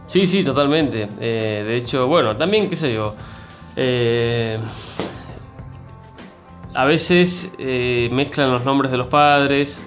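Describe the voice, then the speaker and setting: A man's voice: medium pitch, takes it slowly, pauses often, faint music behind. A middle-aged man from Cuba with a mixed Cuban and Argentine accent, talking as an interview guest with many fillers and restarts. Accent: Cuban with Argentine mix. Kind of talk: conversational interview.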